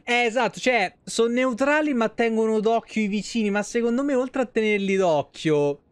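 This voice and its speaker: A man with a smooth, fairly high voice and a Roman Italian accent. He talks like a game streamer chatting to viewers, rambling in colloquial, sweary speech. The sound is dry and close. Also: moderate pace, no echo. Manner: colloquial, swearing, animated digressions. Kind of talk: casual livestream commentary, chatty. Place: small indoor streaming room.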